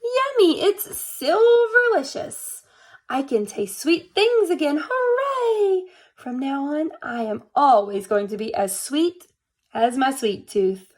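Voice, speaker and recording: Woman acting dramatic little girl, high-pitched, swooping intonation, eager, emphatic, storybook character. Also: sing-song, slow pace, exaggerated voice. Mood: delighted. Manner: exclaiming, pleading, emphatic.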